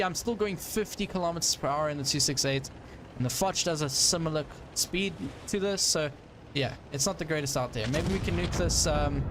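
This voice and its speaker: A man's voice: a little high, a little hiss or hum. A young man with a South African accent, casually commentating a live stream of his tank game and greeting viewers in chat, with plenty of ums and restarts. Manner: casual, thinks aloud, many ums and restarts.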